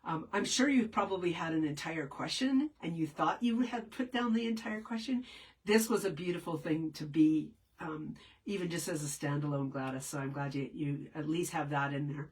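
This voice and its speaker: Low-voiced woman with a head cold. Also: normal speed, recorded from a distance, poor audio. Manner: meandering, many fillers and restarts. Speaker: spiritual teacher answering viewer questions. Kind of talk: casual live Q&A.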